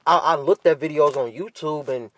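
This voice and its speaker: Male podcast host, pitch a little high. Casual, blunt, profane solo talk, repeating points for emphasis. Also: normal speed, no echo. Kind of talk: casual candid monologue.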